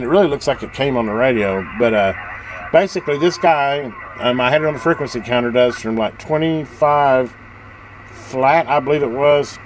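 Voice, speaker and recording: A man giving a casual, unscripted hands-on demonstration as a video host, rambling in a folksy, enthusiastic way. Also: mid-range voice. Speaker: hobbyist video host showing a vintage radio. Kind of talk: casual unscripted show-and-tell. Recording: radio static and background noise. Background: CB radio hiss and chatter.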